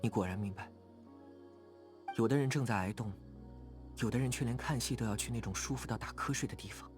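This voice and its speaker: Man voicing a frank, easygoing young drifter, mid-pitched. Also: a little fast, over music, lightly acted. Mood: earnest. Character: hardy, easygoing young idealist drifter from snowfields. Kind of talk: video game character dialogue.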